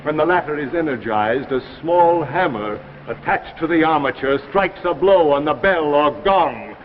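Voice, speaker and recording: A man's voice: on the high side, normal speed, slight background noise, close to an everyday voice. An actor playing a lovestruck, menacing machine come alive as a man, declaiming in a bookish, imperious way in 1950s radio drama style. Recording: dull vintage radio recording, loud music.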